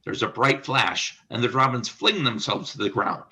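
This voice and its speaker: Man, scripted narration, radio drama, bright forceful tone, medium pitch. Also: animated, moderate pace.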